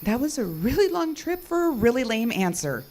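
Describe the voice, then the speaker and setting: An actress playing a spirited, teasing field technician out camping in an audio horror drama, expressive in delivery. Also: female voice, a little high, moderate pace.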